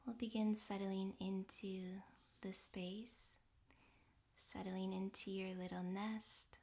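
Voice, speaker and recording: Slow, soft woman guiding meditation. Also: mid-range voice, flat delivery. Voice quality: soft, breathy, half-whispered. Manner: gentle, unhurried, with long pauses. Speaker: yoga nidra meditation guide. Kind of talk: guided relaxation meditation.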